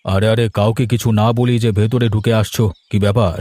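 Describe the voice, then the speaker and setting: A man's voice: fairly low pitch, steady tone, fast talker, warm tone, no echo, close to an everyday voice. A man voicing a firm, earnest village man in a cartoon story, explaining and persuading. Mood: admonishing. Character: reasoning village men: farmers and headman, firm, earnest. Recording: music behind the voice.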